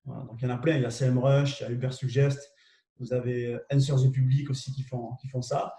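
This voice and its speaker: A man's voice: mid-range voice, flat delivery, quick pace, dark tone, roomy sound, far from the mic. A man with a southwest French accent presents tools on a live webinar, talking hesitantly with lots of fillers and repeats, and often checks in with his listeners. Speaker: co-host presenting marketing tools.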